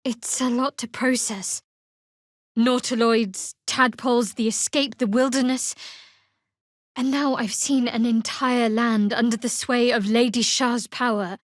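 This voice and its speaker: Woman acting guarded young female cleric, video game dialogue, slightly high voice, sardonic, measured, wry, dry studio sound. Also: steady tone, no room sound, natural voice. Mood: guarded, reflective.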